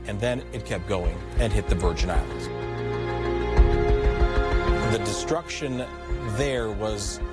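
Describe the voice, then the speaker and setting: A man's voice: fairly low pitch, takes it slowly, long pauses. A man working as a television weather forecaster, recalling events in an interview with music behind him. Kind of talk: interview recollection. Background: loud music.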